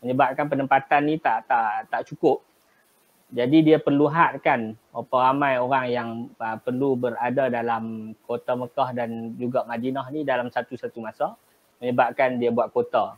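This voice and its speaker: A middle-aged man with a northern Malaysian Kedah accent talks through his story at a measured pace, pausing often. His voice sits at an ordinary pitch for a man. The sound is dull and lo-fi, like a live stream call. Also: fairly even intonation. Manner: measured, with frequent pauses and fillers. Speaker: guest, travel agency business owner. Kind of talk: remote interview storytelling.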